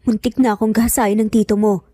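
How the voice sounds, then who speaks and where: A woman's voice: medium pitch, steady tone, quick pace, warm tone, dry recording, lightly acted. A woman voicing a frightened, tearful young woman who pleads insistently in an audio romance drama. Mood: tearful, shaken. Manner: pleading, emphatic.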